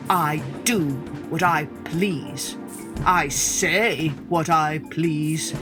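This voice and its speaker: A woman voicing a proud male lion, king of the forest, with scornful, haughty declarations in a storybook tale. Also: fairly low pitch.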